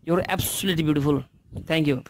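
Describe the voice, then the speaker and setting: A young man with a Nepali accent, talking fast on a live video call into a headset mic. He jokes around in broken English, and his voice sits in a middle range. A faint background noise hums beneath him. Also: on the high side. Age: 20s. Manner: joking, rapid, broken English. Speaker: live-stream host. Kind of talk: casual joking video call chat. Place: room at home. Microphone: headset with boom mic.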